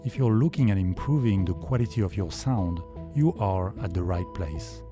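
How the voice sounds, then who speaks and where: A man with a deep voice giving a calm, measured voice-over tutorial. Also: over music. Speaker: video creator. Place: foam vocal booth.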